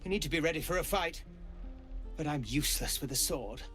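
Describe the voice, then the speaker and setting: An actor playing a jittery young refugee learning swordplay in a video game, eager and hesitant. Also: male voice, a little high, fairly quick, fairly bright tone, lightly acted. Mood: worried, discouraged. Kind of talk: video game dialogue acting. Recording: game music behind the voice.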